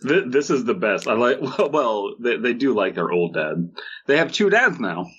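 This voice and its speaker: A man's voice: mid-range voice, sing-song, normal speed, a bit bright, slightly rough. A man co-hosting a podcast, bantering casually with sweary mock rants through a dull, muffled microphone. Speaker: podcast co-host.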